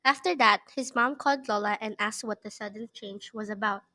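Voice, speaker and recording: A woman's voice: medium pitch, brisk, pushed and bright. A woman narrating a scripted children's story as an author reading her work aloud.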